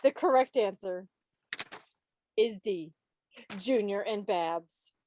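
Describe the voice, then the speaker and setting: A woman's voice: medium pitch, slow pace, darkish tone, a little hiss or hum. A woman hosting a trivia quiz on a video call in a playful, teasing way, with mock-dramatic asides. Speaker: quiz host at a club meeting.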